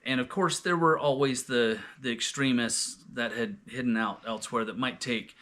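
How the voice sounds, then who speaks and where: A man's voice: medium pitch, moderate pace, fairly bright tone. A man hosting a casual, unscripted discussion, often searching for words and restarting his sentences as he goes. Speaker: host of a scripture reading discussion.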